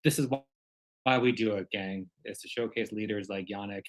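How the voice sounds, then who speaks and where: A man's voice: on the low side, fairly even intonation, normal speed. A man casually hosting a group video call in an encouraging manner. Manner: encouraging, addresses group as gang. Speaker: host of an online community gathering.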